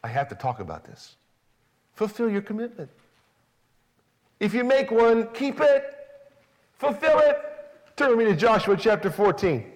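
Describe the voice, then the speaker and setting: A man preaching a sermon, swinging from soft, low emphasis to raised, excited lines, sometimes acting out a biblical speaker in first person. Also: unhurried, long pauses. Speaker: pastor.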